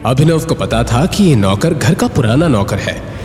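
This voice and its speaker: A man's voice: medium pitch, sing-song, moderate pace, darkish tone. A man giving dramatic, scripted narration of an audio story. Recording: reverberant echo with music bed. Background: music.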